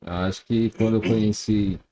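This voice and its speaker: A man's voice: on the low side, fairly even intonation, normal speed, dark tone, poor audio. A man in his twenties co-hosting a religious podcast, easygoing and affirming. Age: 20s. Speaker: podcast co-host. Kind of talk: casual religious podcast chat.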